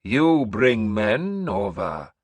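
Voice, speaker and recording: A man voicing a sinister old woman who rules from a throne, slow, hissing and imperious. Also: lowish voice, sing-song, breathy edge, dry recording, heavily acted. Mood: commanding.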